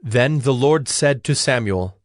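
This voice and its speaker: A man narrating scripture from a script in a measured, formal storytelling manner. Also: medium pitch, animated, no echo, studio quality.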